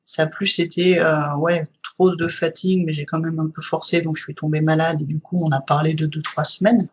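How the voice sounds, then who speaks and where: Casual lo-fi podcast guest, a woman with a husky, breathy voice, explaining her subject in long, flowing stretches, lots of "euh" fillers, recorded on muffled, dull audio. she sounds like she's talking with someone she's comfortable with. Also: low voice, level tone, fairly quick. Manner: explains at length with frequent fillers. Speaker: guest, trainer.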